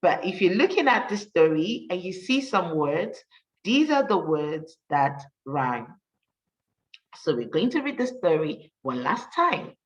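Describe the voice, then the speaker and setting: A woman teacher reading a rhyming story for children, with an encouraging manner and deliberate, emphatic delivery. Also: lowish voice, sing-song, recorded from a distance, muffled recording. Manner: encouraging, praising, addressing children directly.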